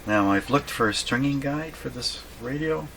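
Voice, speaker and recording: A man giving casual hands-on repair narration, halting and thinking aloud to himself as he works. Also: medium pitch, fairly bright tone. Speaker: host of a radio repair channel. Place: indoors at a home workshop. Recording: clearly noisy room recording.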